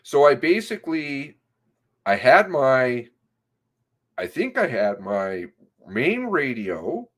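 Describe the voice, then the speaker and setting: A man reading an interview transcript aloud in a halting way, sometimes breaking off with asides of his own. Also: medium pitch, very animated, fairly bright tone. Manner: halting, often breaking off to comment on the text.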